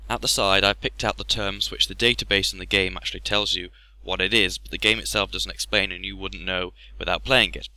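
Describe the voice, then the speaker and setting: A man with a low but very bright, forward voice explains a game informally. The recording is close and dry. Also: fairly even intonation, fairly quick, hardly any pauses, dry recording, low-quality recording. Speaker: gaming video commentator.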